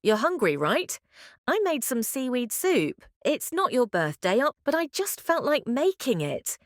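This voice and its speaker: A man voicing a caring middle-aged mother who gently coaxes her grown child to eat, in an audio drama. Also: extremely high pitch, huge pitch swings, unhurried, no room sound, studio quality, voice pushed up, exaggerated voice. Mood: tender.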